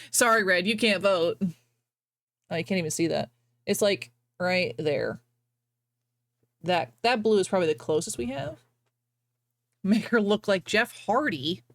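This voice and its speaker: A woman's voice: mid-range voice, expressive, unhurried, pauses often. A woman hosting a casual livestream, talking in a playful, teasing way. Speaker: livestream host.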